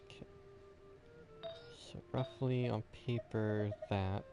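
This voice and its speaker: A man's voice: mid-range voice, wildly swooping pitch, takes it slowly, music in the background. A man streaming a game in casual chatter, muttering as he thinks aloud.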